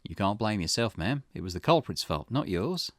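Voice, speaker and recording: A man acts out a wry game detective in a low voice that swings expressively. He is dry and skeptical, a plain talker who likes to question. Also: sing-song, moderate pace, a bit bright, no echo. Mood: sympathetic, firm. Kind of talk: character voice reading visual novel dialogue.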